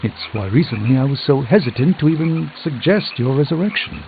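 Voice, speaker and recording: An actor voicing an urbane, proud middle-aged sorcerer in a video game, speaking with eloquent, theatrical dry wit. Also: male voice, medium pitch, animated, fairly quick, creaky, slight background noise. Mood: grave, reflective. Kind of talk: scripted video game dialogue. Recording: thin phone-like band, loud music behind.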